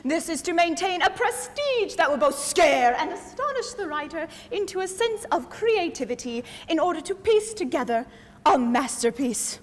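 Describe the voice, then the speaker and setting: A woman's voice: very high voice, sing-song, normal speed. A woman playing an officious, exasperated idea come to life, quoting rules in an indignant, theatrical way in a comic stage play. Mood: pompous. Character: personified idea, officious, exasperated, rule-quoting female messenger. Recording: echoey stage hall, clear background noise.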